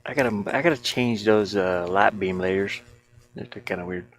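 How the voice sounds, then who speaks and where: A man's voice: on the low side, moderate pace. A man casually thinking aloud in an amused, rambling way while commentating on his own game-building screen share. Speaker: indie game developer.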